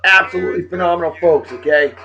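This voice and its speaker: Hoarse, upbeat New England man. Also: high-pitched, fast, bright, forceful tone, muffled recording, faint music behind. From Massachusetts. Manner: upbeat, hype-filled and chatty, with throat clearing. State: hoarse, losing his voice. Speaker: video blog host. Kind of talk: casual beer review vlog.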